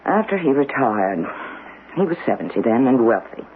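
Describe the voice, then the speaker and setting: An anxious, sceptical niece in a radio mystery. She speaks formally and with feeling, her pitch swinging widely, on a narrow, faintly noisy broadcast recording. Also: very animated, normal speed. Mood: doubting, concerned. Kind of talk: radio drama acting.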